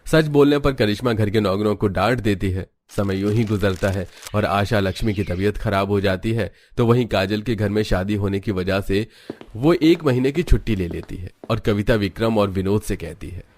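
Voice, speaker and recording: Low-pitched man narrating a story. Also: normal speed, darkish tone, a little hiss or hum. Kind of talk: scripted cartoon story narration.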